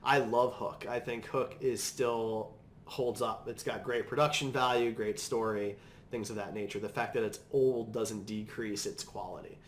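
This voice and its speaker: A man talking casually and with opinions in a podcast panel discussion, with a measured delivery. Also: fairly low pitch, steady tone, roomy sound, a little hiss or hum. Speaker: podcast host.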